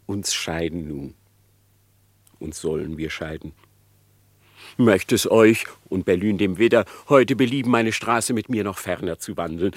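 An actor playing a sly, scheming male fox in a radio play, smooth and mocking with chuckles. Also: lowish voice, no room sound. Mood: flattering. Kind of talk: classic radio play acting.

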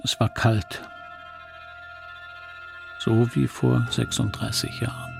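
An actor playing a middle-aged man who looks back on his childhood in a radio play, reflective, confiding and gravelly. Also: medium pitch, flat delivery, takes it slowly, music in the background. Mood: grieving, remorseful. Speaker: narrator. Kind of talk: dramatic first-person radio play narration.

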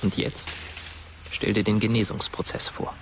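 Guy doing cocky teen ghost prankster, radio play, lowish voice, noisy background. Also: little pitch movement, normal speed, recorded from a distance, lightly acted. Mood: sardonic, ominous. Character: dead teenage boy, mischievous, cocky prankster ghost. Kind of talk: radio drama acting.

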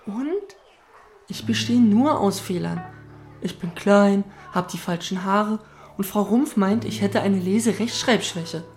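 A woman's voice: mid-range voice, unhurried, darkish tone, close to an everyday voice. A woman voicing a cheeky, self-assured schoolboy who teases and talks boldly. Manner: cheeky, teasing, brash. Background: music.

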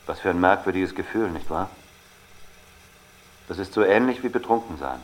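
A man's voice: sits low, lively intonation, normal speed, poor audio. A man playing a brooding Algerian narrator who recounts his life in a literary audio drama. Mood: reflective. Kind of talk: dramatic first-person narration.

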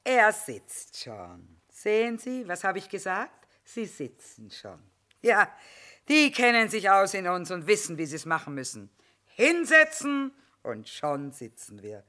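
A woman's voice: mid-range voice, sing-song, measured pace, sharp tone. An actress playing a shrewd, middle-aged female war trader, earthy and sardonic, in theatrical style. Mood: sardonic, resigned. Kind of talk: theatrical stage acting with half-sung song.

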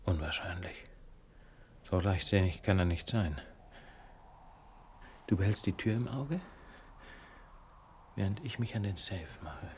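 Cool wry detective guy, really deep voice, unruffled, radio play, noisy track. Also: a little slow, pauses often. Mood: hushed, wry. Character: cool, wry, self-assured male detective on a burglary. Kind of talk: radio drama acting.

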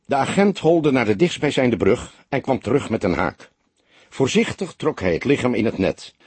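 A man the reading a crime story as an audiobook, in a measured, expressive storytelling manner. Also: medium pitch, breathy edge, dry recording. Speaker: audiobook narrator. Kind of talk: scripted literary narration of a crime story.

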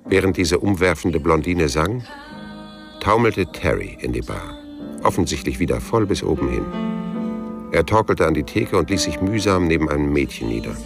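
A man's voice: rumbling low, animated, moderate pace, creaky, over music, full character voice. An actor playing a laconic, world-weary private detective who narrates his story dryly in a noir radio drama. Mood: dry, wry. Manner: dry, laconic. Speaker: narrator and lead character.

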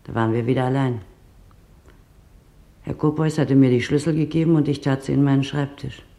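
A man playing a quiet, shaken witness who recounts a wartime arrest in a measured, detailed way, as in a radio documentary. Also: mid-range voice, fairly even intonation, creaky, natural voice. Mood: subdued, remembering. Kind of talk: dramatized documentary monologue in German.

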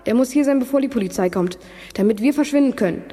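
A woman's voice: fairly high pitch, animated, fast talker, husky, long reverb tail. A woman playing a decisive, bossy teenage boy detective in a radio play. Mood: urgent, commanding. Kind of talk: German youth radio play acting. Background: loud music.

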